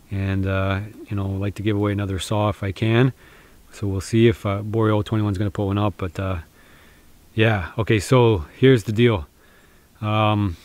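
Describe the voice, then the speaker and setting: A man's voice: lowish voice, a little mellow, no echo. A man in his thirties vlogging outdoors in a snowy forest, talking casually and encouragingly to camera with lots of fillers and restarts. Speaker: bushcraft vlogger.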